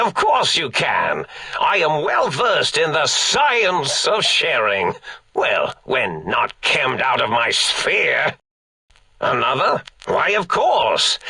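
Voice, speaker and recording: A man's voice: fairly high pitch, wildly swooping pitch, takes it slowly, creaky, pushed and bright, slightly rough, dry recording, full character voice. An actor playing an addled old male mad scientist, a brain in a robot, pompous, rambling and eccentric in a video game. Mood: addled, genial. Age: older. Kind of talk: theatrical video game character acting.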